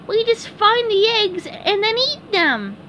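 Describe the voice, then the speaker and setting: A woman voicing an excitable, kind-hearted young male cartoon sea sponge from a children's storybook, high and earnest. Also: slow, a little hiss or hum, exaggerated voice. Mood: earnest, correcting. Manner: high-pitched, earnest, exclamatory.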